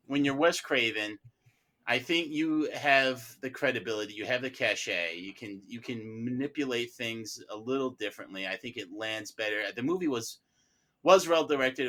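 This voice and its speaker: A man with a very bright, punchy voice argues about movies as a podcast panelist. He is animated and emphatic, often repeating phrases to drive them home. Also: mid-range voice. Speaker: panelist on a mock-trial film podcast. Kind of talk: casual film debate.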